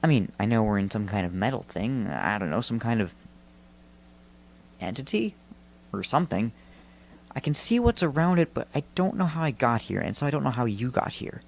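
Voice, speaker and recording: A man voicing a friendly, earnest boy spirit in a story, soft-hearted, hesitant and patient. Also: mid-range voice, lively intonation, moderate pace, vocal fry. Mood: earnest, unsure.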